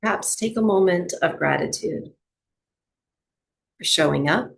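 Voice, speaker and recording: A woman teaching yoga and breathwork, gently guiding a relaxation meditation with soothing, unhurried instruction and long pauses. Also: fairly low pitch, creaky.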